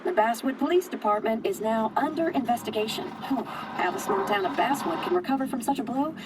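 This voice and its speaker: An actress playing a chatty, folksy local radio news presenter in a video game, telling the story with dramatic flair. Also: female voice, a little high, fairly quick, recorded from a distance, very noisy, natural voice. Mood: wry, dramatic.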